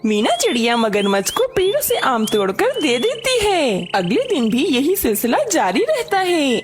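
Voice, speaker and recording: A woman's voice: high voice, wildly swooping pitch, measured pace. A woman narrating a scripted children's story as its narrator.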